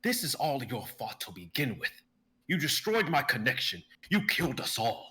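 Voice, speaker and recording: A man's voice: mid-range voice, big pitch swings, moderate pace, pushed and bright. A man playing a weathered, scrawny old ex-doctor, furious and accusing, in a live script reading. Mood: bitter.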